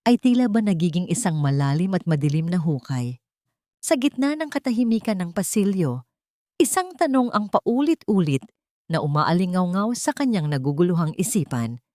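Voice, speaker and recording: A woman reading a scripted melodramatic story in a solemn, measured way. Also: fairly low pitch, darkish tone, no room sound, studio quality. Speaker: story narrator. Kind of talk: dramatic scripted narration of a melodrama.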